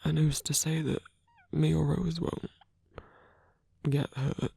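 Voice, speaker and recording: A performer in an intimate ASMR roleplay, voicing a gentle, protective supernatural lover with a husky, breathy voice and a hesitant, earnest delivery. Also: mid-range voice, little pitch movement, takes it slowly, dry recording, exaggerated voice. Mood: earnest, devoted.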